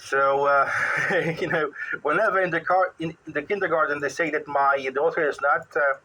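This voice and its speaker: A middle-aged man with a Polish accent, chatting casually as an amateur radio operator in a halting, wry, rambling way. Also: a little high, steady tone, pushed and bright, poor audio.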